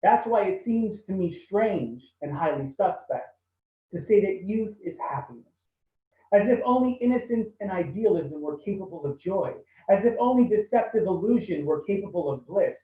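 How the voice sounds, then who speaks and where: A man reading poetry aloud over a video call from home, with quick asides between poems. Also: high voice, lively intonation. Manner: quick spoken asides, then measured reading. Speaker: poet reading. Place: home, kitchen or similar room. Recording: echoey tinny call audio from far off.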